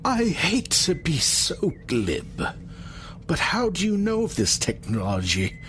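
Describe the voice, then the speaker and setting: An actor playing an arrogant reptilian scientist in an audio drama, articulate, theatrical and condescending. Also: male voice, a little high, huge pitch swings, takes it slowly, a bit bright, slight background noise, full character voice. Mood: smug, explanatory.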